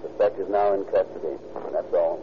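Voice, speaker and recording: A police dispatcher, voiced by a man, reads out clipped, monotone bulletins in a 1930s radio drama. His voice is deep. It sounds muffled, with strong hiss behind it. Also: normal speed, lightly acted. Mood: flat.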